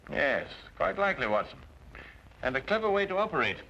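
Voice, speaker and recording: An actor playing a shrewd gentleman detective, languid and drily amused, in an old-time radio drama. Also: a little high, very animated, brisk, creaky, full character voice. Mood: wryly assured. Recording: muffled, noisy old radio recording.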